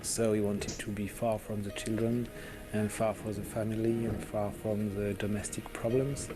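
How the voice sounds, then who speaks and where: A man speaking hesitantly in a documentary interview, pausing to search for words. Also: lowish voice, level tone, over music. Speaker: interviewee, descendant of the subject.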